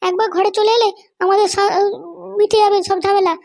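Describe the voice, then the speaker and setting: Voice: very high voice, flat delivery, brisk. A performer voicing a bossy, suspicious, scheming Bengali wife and mother in a comedy cartoon, with a childlike voice and a nagging, commanding manner. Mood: impatient, scheming. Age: middle-aged. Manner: nagging, commanding, keeps demanding to be understood. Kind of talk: animated comedy cartoon dialogue.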